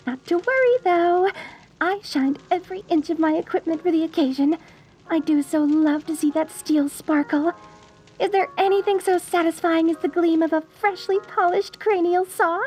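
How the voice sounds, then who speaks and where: A woman playing a sweetly deranged, lovestruck mortician bride in a dark comedy audio roleplay, cooing genteel endearments at the listener with theatrical menace. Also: very high voice, moderate pace, low-quality recording. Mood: cheerfully menacing.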